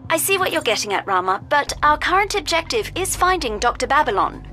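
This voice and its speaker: Perky young tech support gal in a video game, high voice, super animated, polite n kinda awkward, music behind. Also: female voice, a little fast, exaggerated voice. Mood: brisk, helpful. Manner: chipper, polite, awkwardly hesitant. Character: perky, eager young female agency tech handler. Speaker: mission support.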